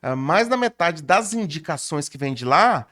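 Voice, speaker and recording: Male podcast host, Brazilian accent, fairly high voice, conversational, builds questions hesitantly and the long way round. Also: expressive, moderate pace, pushed and bright. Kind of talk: conversational interview.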